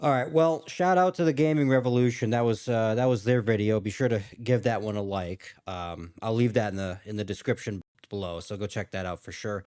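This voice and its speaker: A man's voice: on the low side, brisk, few pauses, a little mellow, clean tone. A man giving casual reaction commentary as a video host, rambling and thinking aloud as he goes.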